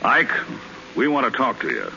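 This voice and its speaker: A gruff ball team captain, bright forceful voice, old dull radio hiss. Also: male voice, mid-range voice, wildly swooping pitch, moderate pace, full character voice. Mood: probing. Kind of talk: 1930s radio acting.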